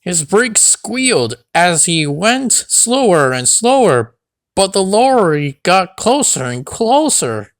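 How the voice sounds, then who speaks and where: Children's read-along narrator; unhurried, very animated intonation, dry close sound. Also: medium pitch, dry recording. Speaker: storybook narrator.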